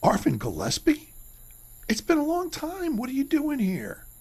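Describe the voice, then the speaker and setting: A man's voice: very high voice, wildly swooping pitch, unhurried, a little mellow, exaggerated voice. A man playing a restless shelf stocker who stammers and hesitates. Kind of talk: amateur radio drama acting.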